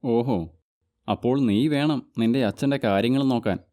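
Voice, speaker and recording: A man voicing a calm family doctor who gives instructions in an animated story. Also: medium pitch, normal speed, no room sound, studio quality, lightly acted. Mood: instructive.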